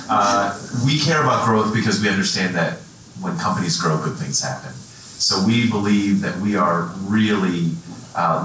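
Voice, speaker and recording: A man's voice: deep voice, pushed and bright, slight background noise. A man from the East Coast, a nonprofit director, introducing himself on a panel with emphatic, self-mocking remarks. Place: large conference hall with audience. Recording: echoey hall from far off.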